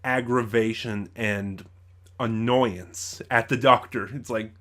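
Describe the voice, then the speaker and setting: A man's voice: medium pitch, steady tone, slow pace, fairly bright tone. A man hosting an informal spoken review, opinionated and rambling, often stopping to correct himself. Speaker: reviewer, channel host.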